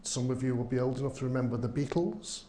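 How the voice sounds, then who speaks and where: Liverpool-accented male speaker, mellow tone, keen and chatty with fillers, roomy hall. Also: medium pitch, fast, slight background noise. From England. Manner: enthusiastic, filler-heavy, says um often. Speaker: conference speaker. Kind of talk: conference talk. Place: conference hall.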